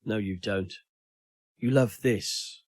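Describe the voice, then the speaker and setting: A man's voice: lowish voice, takes it slowly, darkish tone, dry recording, clean studio sound, voice pushed down. A man voicing a hurt, accusing woman in an audio story, reproachful and pleading. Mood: cold, reproachful. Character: Steph, hurt, accusing young woman in a failing relationship.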